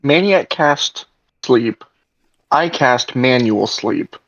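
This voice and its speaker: A man's voice: mid-range voice, animated, drawn-out words, a bit bright, dry recording. A man talking casually as a player in a tabletop game, recorded with lo-fi sound. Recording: dull, lo-fi microphone sound.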